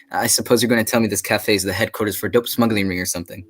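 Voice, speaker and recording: A man playing a genial, easily shocked English gentleman companion in a radio drama, earnest and given to exclaiming. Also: fairly low pitch, fairly even intonation, racing, natural voice. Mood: genial, sceptical. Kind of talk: 1940s radio drama acting.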